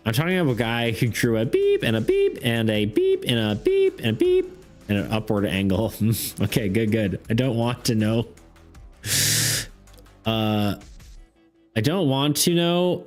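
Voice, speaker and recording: A man's voice: mid-range voice, huge pitch swings, unhurried. A young man streaming casually about art and game design, rambling with lots of fillers and laughs, saying he is losing his voice. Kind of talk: casual live-stream chat. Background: faint background music.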